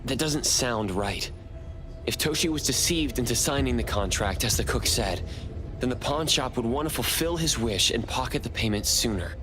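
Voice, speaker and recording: Calm, analytical male game investigator voiced by a man. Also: mid-range voice, background noise, natural voice. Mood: thoughtful, doubtful. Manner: measured, thoughtful, deductive. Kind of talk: anime-style video game voice acting.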